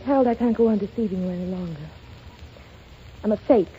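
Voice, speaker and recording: An actress playing a young shop girl posing as a rich lady, with a refined, gently teasing manner, in a 1930s radio drama. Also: mid-range voice, fast talker, a little hiss or hum, close to an everyday voice. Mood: confessional. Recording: lo-fi old radio broadcast with music.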